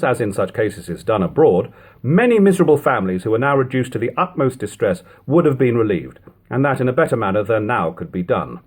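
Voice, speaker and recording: Man reading, lively rise and fall. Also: on the low side, breathy edge. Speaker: audiobook reader. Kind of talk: reading aloud an old historical narrative. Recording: lo-fi amateur home recording.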